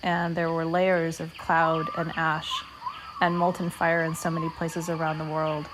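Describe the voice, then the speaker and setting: A woman leading a guided meditation talk in a measured, deliberate way, speaking in short phrases with pauses. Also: low voice, completely flat delivery, noisy background.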